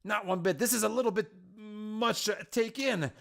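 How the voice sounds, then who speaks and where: A man's voice: high-pitched, moderate pace, vocal fry, a bit bright. A man voicing a troubled, defiant warrior woman in a story, earnest and questioning in an agitated manner. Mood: overwhelmed, urgent. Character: Arya, troubled defiant young female warrior burdened by war.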